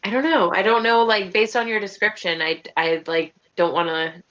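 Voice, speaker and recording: A woman's voice: mid-range voice, normal speed, fairly bright tone, lo-fi sound. A woman casually and hesitantly putting questions as a player in an online tabletop game. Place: online voice call.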